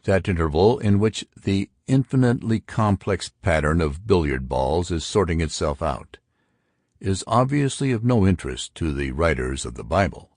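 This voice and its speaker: A man's voice: deep voice, lively intonation, normal speed, a little mellow, dry recording, studio quality. A man voicing an argumentative intellectual who expounds theories to friends in an audiobook. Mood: earnest, arguing. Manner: emphatic, pedantic. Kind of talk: audiobook character dialogue.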